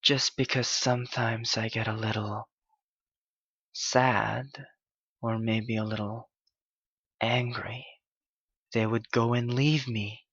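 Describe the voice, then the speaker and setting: A clingy, wounded young male yandere, performed by a man pours out his heartbreak in an intimate ASMR roleplay. His voice is breathy and rough, and he speaks extremely slowly, halting and pleading. The recording is dry and slightly muffled. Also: medium pitch, little pitch movement, fairly bright tone, no echo, full character voice. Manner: slow, halting, pleading, anguished delivery.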